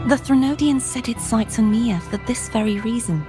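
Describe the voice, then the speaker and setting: An actress playing a solemn, courteous mystical warrior woman in a video game, speaking in a formal, measured, grave way. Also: a little high, lightly acted. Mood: grave, composed. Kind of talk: scripted game dialogue. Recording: studio voice under prominent game music.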